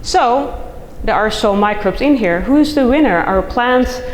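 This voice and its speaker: A woman's voice: on the high side, animated, unhurried. A middle-aged woman from the Netherlands with a Dutch accent, giving an enthusiastic, chatty conference talk as a soil scientist, full of fillers and restarts. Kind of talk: conference presentation. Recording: echoey hall recording, faint noise.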